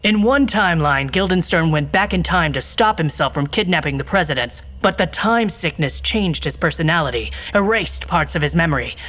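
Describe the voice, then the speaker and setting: Cool-headed secret agent. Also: female voice, low voice, expressive, normal speed, hardly any pauses, a bit bright. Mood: confident. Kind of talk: tongue-in-cheek spy game acting.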